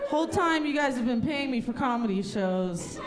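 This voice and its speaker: A woman's voice: a little high, lively intonation, normal speed, crowd noise. A woman from Russia doing stand-up comedy on stage, telling stories in a conversational, sarcastic way. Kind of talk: stand-up comedy before a live audience. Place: live comedy showcase at a lodge venue.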